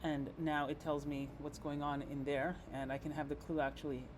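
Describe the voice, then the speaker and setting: A man presenting an informal software tutorial, explaining step by step in a halting, think-aloud way. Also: a little high, flat delivery, very noisy. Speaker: presenter giving a software demo. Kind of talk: informal screen-reader tutorial narration.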